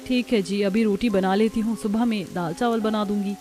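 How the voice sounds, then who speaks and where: A woman voicing a gentle, self-sacrificing daughter-in-law from a poor village in a cartoon. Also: medium pitch, steady tone, normal speed, faint music behind, natural voice. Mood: patient.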